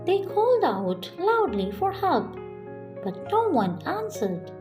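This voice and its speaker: A woman with a high voice narrates a children's bedtime story. Her delivery is slow and very melodic, following a script, with music playing underneath. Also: sing-song.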